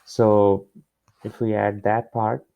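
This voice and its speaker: A man working through a problem step by step as a tutor, in a halting way with pauses and repeats. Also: fairly low pitch, little pitch movement, creaky, no room sound. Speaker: physics tutor. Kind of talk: explaining a worked problem step by step. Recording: dull, muffled lo-fi close recording.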